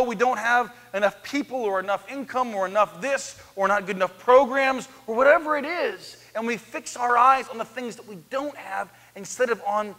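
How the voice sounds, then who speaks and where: A man in his thirties preaching an animated sermon on a church stage, swinging from loud to hushed. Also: extremely high pitch. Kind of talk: lively sermon. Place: church sanctuary, large, indoors. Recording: echoey church hall.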